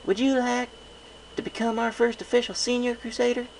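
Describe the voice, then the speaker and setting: A man's voice: extremely high pitch, completely flat delivery, a little fast, gravelly, darkish tone, a little hiss or hum, voice pushed up, poor audio. A man voicing an eager, earnest young filly pony with a folksy, childlike way of talking in a read-aloud story.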